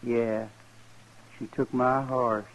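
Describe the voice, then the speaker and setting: Brief: older American homesteader, grieving and dazed, halting country talk, mid-pitched, radio western. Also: male voice, level tone, low-quality recording. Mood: grieving, ashamed. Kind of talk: old-time radio western acting.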